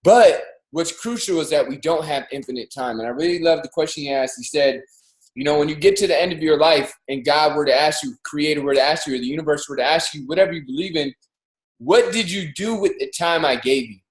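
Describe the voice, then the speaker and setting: A man in his thirties giving an energetic, preachy motivational talk as co-host of a livestreamed show, pushing his voice up on key points. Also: on the high side, a little fast, roomy sound, rough recording. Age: 30s. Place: home in Miami, livestreamed call. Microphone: video call.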